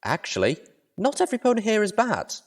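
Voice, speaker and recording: A man's voice: sits high, wildly swooping pitch, brisk, pitched above the performer's own voice, full character voice. A man voicing a small young female pony, an earnest and determined adventurer who speaks emphatically and pleadingly.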